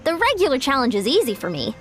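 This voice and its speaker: An encouraging young woman from a video game. She is bubbly and high-voiced, with very lively, reassuring delivery. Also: fast, soft background music, full character voice. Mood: cheerful, encouraging.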